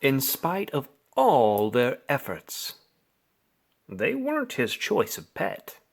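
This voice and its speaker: Very slow, animated man reading a story. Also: mid-range voice, creaky. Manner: deliberate, theatrical phrasing with drawn-out words. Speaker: storybook reader. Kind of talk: expressive bedtime story narration for children.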